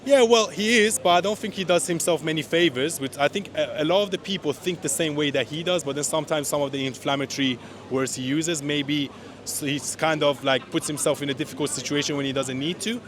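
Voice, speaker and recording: A man from Iran, interviewed outdoors at a street rally, giving earnest and opinionated answers with frequent quick restarts. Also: a little high.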